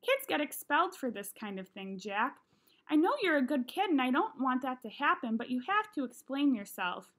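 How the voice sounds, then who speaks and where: A woman voicing a stern but kindly male school principal in a storybook, measured and forgiving. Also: sits high, big pitch swings, fairly bright tone, exaggerated voice. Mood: grave, concerned.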